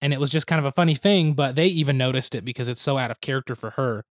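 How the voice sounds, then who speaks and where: Slightly hoarse man chatting casually. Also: mid-range voice, steady tone, rapid-fire, no room sound, studio quality. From Oklahoma. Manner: rambling, easygoing. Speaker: podcast co-host. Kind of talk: casual chat.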